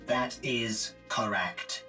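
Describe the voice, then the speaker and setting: An actor playing a calm, emotionless male combat support robot who speaks in flat, precise, formal phrases. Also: mid-range voice, extremely slow, soft background music.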